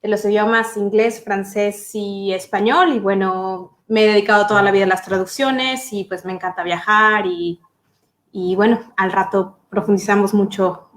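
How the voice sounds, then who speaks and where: A woman translator chats on a video call, easing into her sentences with fillers. Her voice sits at an average pitch. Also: fairly even intonation, moderate pace. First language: Spanish. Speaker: sworn translator. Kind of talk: casual video call chat.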